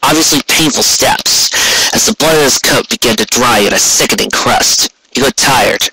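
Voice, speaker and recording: A man's voice: medium pitch, normal speed, bright, forceful tone, husky, rough recording. A man reading scripted fan fiction aloud as an amateur audiobook narrator.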